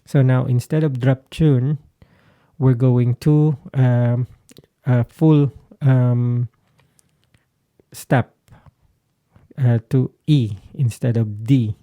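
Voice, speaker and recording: A man's voice: medium pitch, fairly even intonation, drawn-out words, pauses often, warm tone, dry recording. A man giving a casual explanation as a guitarist vlogger, hesitant and searching for his words. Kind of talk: casual explanatory talk to camera.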